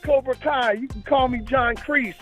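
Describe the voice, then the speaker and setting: A man's voice: very high-pitched, moderate pace, a bit bright, faint music behind. A man appearing as a guest rapper and podcaster, moving between freestyle rap and casual promotional talk.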